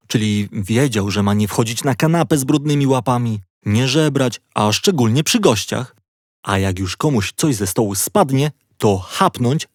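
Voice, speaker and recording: A man narrating a children's story in an expressive, playful storytelling manner. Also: mid-range voice, no room sound, clean studio sound.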